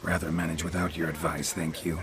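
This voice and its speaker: An actor playing a gruff, weary monster hunter who talks in a terse and deadpan manner. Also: male voice, rumbling low, fairly quick, noisy background. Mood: dry. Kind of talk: video game cutscene acting.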